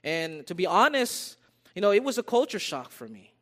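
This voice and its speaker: A man's voice: high-pitched, very animated, normal speed, fairly bright tone. An American man preaching a sermon, repeating his phrases for emphasis and calling his listeners beloved. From United States. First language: English. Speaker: pastor.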